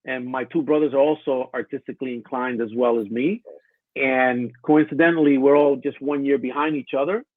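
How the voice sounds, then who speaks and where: A man's voice: mid-range voice, fairly quick, no room sound. A man born in Colombia and raised in the US, a guest illustrator talking casually with many fillers over a remote line. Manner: relaxed, hesitant, many fillers. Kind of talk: casual interview chat. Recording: tinny phone-like remote line, dry.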